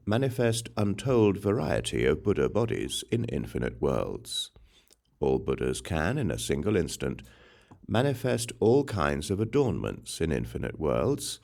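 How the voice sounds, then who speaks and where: A man with an extremely low, gravelly voice reads sacred text as an audiobook narrator. His intonation moves up and down in a lively way, while the delivery stays solemn and deliberately phrased. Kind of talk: scripted scripture reading.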